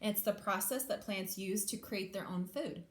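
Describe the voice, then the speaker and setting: An echoey room carries the voice of a woman with a middle-pitched tone. She reads to young children as a teacher does, slipping between the book's sentences and her own plain explanations, often putting questions to her listeners. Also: moderate pace. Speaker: English language teacher reading aloud to children. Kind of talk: children's picture book read-aloud with informal explanations.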